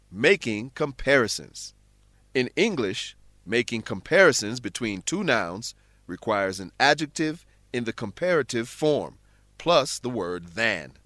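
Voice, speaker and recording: A man narrating a scripted English lesson in a deliberate, explanatory manner, like a teacher. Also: mid-range voice, pushed and bright, no room sound, studio quality.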